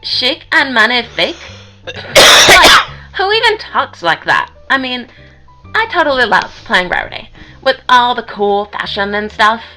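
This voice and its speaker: A woman's voice: a little high, very animated, measured pace, sharp tone, soft background music, exaggerated voice, rough recording. A woman voicing a slangy, exasperated young pony actress in a scripted comic-dub interview.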